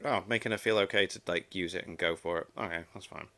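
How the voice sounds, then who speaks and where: A man's voice: low-pitched, fairly even intonation, fast talker, sharp tone, soft background music. A man giving casual, off-the-cuff reaction commentary with informal, exclamatory interjections. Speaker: reaction video host.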